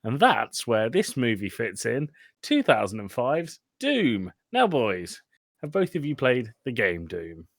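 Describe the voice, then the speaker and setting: A man hosts a casual, comedic film podcast. He talks in a mid-pitched voice, teasing and swearing freely, recorded dry and close with very little room sound. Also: wildly swooping pitch, a little slow, no echo. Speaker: podcast host. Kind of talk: casual comedic chat about films.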